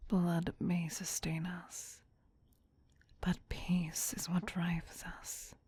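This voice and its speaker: A woman voicing a possessive, tender vampire queen in a breathy, soothing, intimate whisper for an ASMR roleplay. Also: lowish voice, monotone, extremely slow, dark tone, heavily acted. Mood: wistful, regretful.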